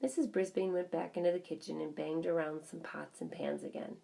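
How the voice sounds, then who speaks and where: A woman's voice: lowish voice, steady tone, a little fast, creaky, warm tone. A woman reading a children's story aloud as scripted narration. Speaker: audiobook reader.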